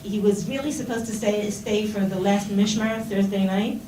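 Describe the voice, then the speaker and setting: A woman's voice: mid-range voice, steady tone, normal speed. A middle-aged Israeli woman giving an informal talk to seminar students, with a Hebrew accent in her English and many fillers and restarts. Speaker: speaker at a seminar. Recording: roomy and distant, with noise.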